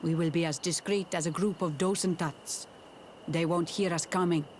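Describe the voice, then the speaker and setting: A man's voice: fairly high pitch, steady tone, normal speed, a little mellow, a little hiss or hum, natural voice. A man playing a stern, resolute clan war leader. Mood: grim resolve. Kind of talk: video game voice acting.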